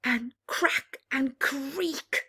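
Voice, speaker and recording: A woman storyteller reading a picture book to young children, expressive and dramatic, with hushed asides that explain the story. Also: high-pitched, very slow.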